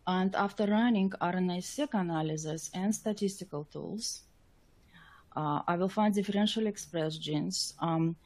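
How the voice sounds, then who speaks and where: A woman scientist presenting a technical slide lecture in a webinar, with a halting, hesitant delivery. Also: lowish voice, a little mellow.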